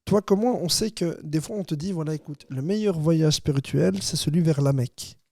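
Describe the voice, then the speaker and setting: A man hosting a podcast, joking and playful, with quick asides. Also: on the high side, darkish tone, no echo, studio quality. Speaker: podcast host. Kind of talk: casual podcast chat. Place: hot podcast studio.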